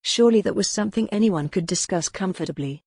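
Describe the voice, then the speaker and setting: A woman's voice: medium pitch, quick pace, darkish tone, breathy edge, dry recording, studio quality. A woman reading scripted, dramatic narration for a historical romance audiobook.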